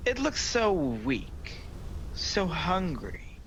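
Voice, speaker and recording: A man performs a proud, sardonic devil in a radio play. His voice is fairly high and bright for a man, swinging theatrically as he tempts and taunts, over faint noise from a home recording. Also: very animated, drawn-out words, full character voice. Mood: mocking. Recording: noisy home video-call recording.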